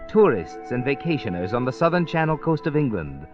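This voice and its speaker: An actor playing a mysterious, ominous storyteller with portentous, theatrical delivery in 1940s radio mystery style. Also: male voice, mid-range voice, sing-song, darkish tone, lightly acted. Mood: measured, foreboding. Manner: slow-building, portentous, theatrical. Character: ominous, mysterious male night-walking storyteller. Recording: dull vintage radio recording. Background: music.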